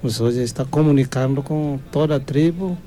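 A man's voice: mid-range voice, normal speed, mellow. A man, a priest, speaking in an easygoing, good-humored way.

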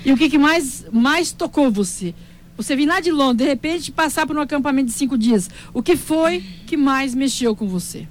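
A woman's voice: high voice, lively intonation, normal speed. A woman hosting a live interview in an upbeat, chatty, exclamatory way. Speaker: radio or event host.

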